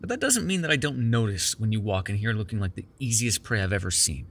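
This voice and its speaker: A man's voice: on the low side, animated, fast talker, gravelly. A man playing a sardonic but caring captive vampire in an immersive ASMR roleplay, talking in a wry, teasing, profane conversational way. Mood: teasing, exasperated. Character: sardonic, caring immortal male vampire held captive in cuffs.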